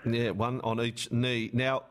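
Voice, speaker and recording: A man hosting a sports radio interview, asking deliberate, emphatic questions. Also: mid-range voice, flat delivery.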